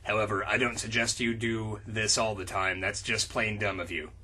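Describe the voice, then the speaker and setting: A man's voice: fairly low pitch, flat delivery, moderate pace, bright, forceful tone, pitched below the performer's own voice. A man voicing a stately wrestler-turned-wizard headmaster handing out awards in a comic reading. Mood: stately announcing.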